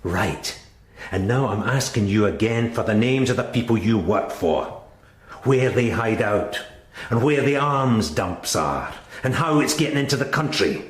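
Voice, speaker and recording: Actor as hard British police sergeant, echoey room. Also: male voice, medium pitch, animated, a little slow, lightly acted. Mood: insistent. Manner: blunt, goading, threatening. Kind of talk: radio crime drama acting. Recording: roomy, echoing interior.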